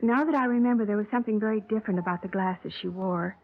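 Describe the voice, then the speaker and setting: An actress playing a cooperative shop clerk witness, recalling details hesitantly, in a 1950s radio drama. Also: female voice, medium pitch, quick pace, natural voice. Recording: tinny old radio broadcast.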